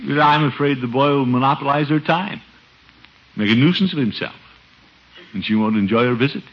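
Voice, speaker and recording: A man's voice: mid-range voice, a little fast, close to an everyday voice. A man playing a fretful, pompous middle-aged uncle, with hesitant, theatrical delivery in a 1950s radio comedy. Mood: wounded. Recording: muffled vintage radio recording.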